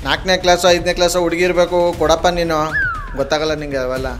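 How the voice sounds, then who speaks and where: Male prank-call host, southern Karnataka accent, quick-fire aggrieved banter, insistent and high-pitched. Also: fairly even intonation, music in the background. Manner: argumentative, feigning grievance, persistent.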